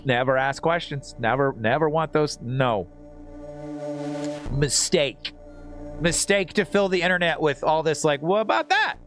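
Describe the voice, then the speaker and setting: A man's voice: a little high, lively intonation, a little slow, a bit bright. A man streaming a video game, giving casual, slangy, rambling and joking commentary to his chat. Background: video game music.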